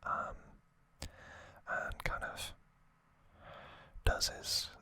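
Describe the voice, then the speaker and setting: Slow, bright whispering man. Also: no echo. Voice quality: breathy, unvoiced whisper throughout. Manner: soft, unhurried, halting delivery with pauses. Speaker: ASMR host. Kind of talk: whispered ASMR story recap.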